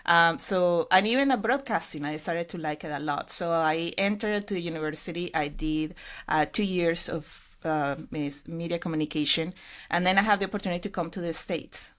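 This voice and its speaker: Middle-aged woman guest, english, low-ish voice, earnest and reflective. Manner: earnest, reflective storytelling with fillers. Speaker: podcast guest, ministry leader. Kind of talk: conversational interview.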